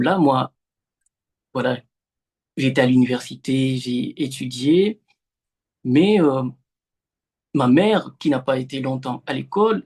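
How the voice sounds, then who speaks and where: A young man from the Democratic Republic of the Congo, a Swahili native speaker, answering literary interview questions haltingly over a remote call, with many fillers and restarts. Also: mid-range voice, darkish tone, husky. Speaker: novelist, interview guest.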